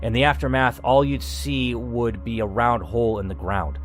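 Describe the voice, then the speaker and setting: A man's voice: mid-range voice, normal speed, soft background music, lightly acted. Man playing an aloof, arrogant villain scientist. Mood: detached. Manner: aloof, calm, condescending. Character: cold, arrogant male lead scientist, calm megalomaniac.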